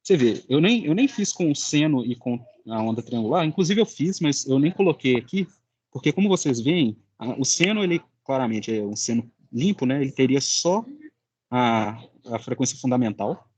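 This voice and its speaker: A young Brazilian man giving an informal explanatory presentation as a student, often halting to search for words. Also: medium pitch, lively intonation, a little mellow, slightly rough, muffled recording. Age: young adult. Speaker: student presenting final project.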